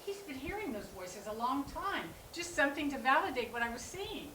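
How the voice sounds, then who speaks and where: A woman's voice: sits high, big pitch swings, a little fast, sharp tone, full character voice. Echoey, distant woman acting an exasperated daughter. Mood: frustrated. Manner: confrontational, incredulous, challenging. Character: exasperated adult daughter, protective of her ill brother. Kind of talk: staged reading of a drama. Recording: echoey room, distant mic, background noise.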